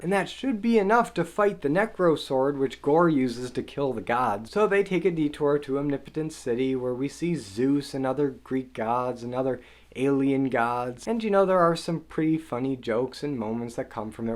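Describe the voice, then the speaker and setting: A man talking through a spoiler review of a superhero movie, asking a string of questions about the plot and sounding mildly exasperated with it. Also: mid-range voice. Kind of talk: spoiler film review monologue.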